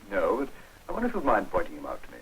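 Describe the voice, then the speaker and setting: An actor playing a brisk, watchful English army officer in a clipped, understated 1940s British film manner. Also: male voice, sits low, wildly swooping pitch, fairly bright tone, exaggerated voice. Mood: guarded. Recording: noisy old film soundtrack, distant voice.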